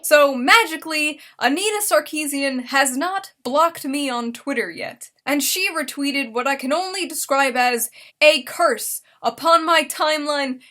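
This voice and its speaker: A woman giving casual, sarcastic reaction commentary, mocking and expressive, swearing freely. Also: sits high, measured pace, some chatter behind. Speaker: online commentary host.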